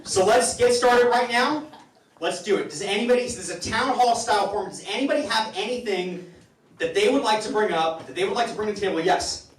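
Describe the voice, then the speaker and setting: A man hosting a live comedy show, telling stories in a halting, rambling way with stammered restarts. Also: high voice. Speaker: host of a comedy town hall show. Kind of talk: stand-up comedy monologue to a live audience. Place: indoor live comedy venue with audience. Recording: roomy, distant, noisy live venue recording.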